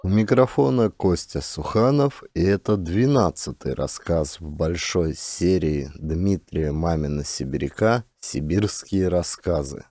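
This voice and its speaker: A man narrating a scripted audiobook in a measured, expressive storytelling manner. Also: low-pitched, no echo, clean studio sound.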